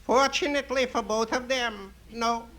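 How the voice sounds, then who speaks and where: A man's voice: extremely high pitch, fairly even intonation, normal speed, bright, forceful tone, low-quality recording. A man playing a long-suffering, wry married man, singsong, in 1950s radio comedy. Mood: wry, exasperated. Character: long-suffering, wryly sarcastic married man, comic neighbour. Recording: old radio recording with faint noise.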